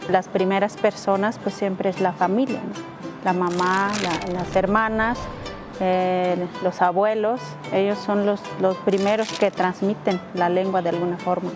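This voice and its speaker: A woman interviewee speaking thoughtfully, with pauses and fillers. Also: mid-range voice, flat delivery. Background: guitar music.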